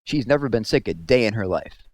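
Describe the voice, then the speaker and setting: A man reads the part of an easygoing young country peddler in a storybook. He pitches his cures with folksy, earnest confidence. The sound is dry and free of room echo. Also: animated, fairly quick, darkish tone. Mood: cheerful pitch.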